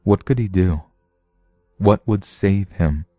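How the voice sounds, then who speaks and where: A man's voice: sits low, takes it slowly, warm tone, no room sound. A man narrating a science fiction story from a script. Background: music.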